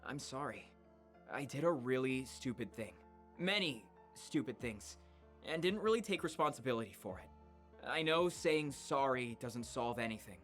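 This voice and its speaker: Woman as laid-back teenage boy, visual novel, low-pitched, lively, casual, hesitant, placating. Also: moderate pace, soft background music. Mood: apologetic. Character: easygoing teenage boy, nonchalant prankster turned apologetic. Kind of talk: visual novel character acting.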